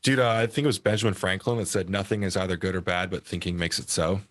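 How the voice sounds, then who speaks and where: A man who is a guest on a podcast, talking casually and quickly in a laid-back way that is peppered with "dude". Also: sits low, fairly even intonation, a bit bright.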